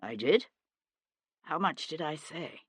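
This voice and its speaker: A woman voicing a young gentleman investigator in an audiobook, sardonic and hard-edged, with a clipped manner. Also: deep voice, animated, takes it slowly, breathy edge, no room sound, clean studio sound, full character voice. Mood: weary.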